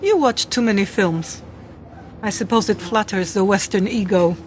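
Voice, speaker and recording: A woman playing a world-weary, seductive Eastern European spy in a radio play, speaking slowly and deliberately over background noise. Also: mid-range voice, a little mellow, lightly acted. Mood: wry, cool.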